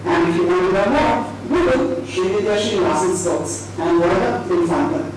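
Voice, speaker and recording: A woman's voice: on the low side, normal speed, very noisy. A woman formally reads a prepared introduction as presenter at a live event. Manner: formal, reading from a prepared text. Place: echoey event venue. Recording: very echoey, distant, loud music behind.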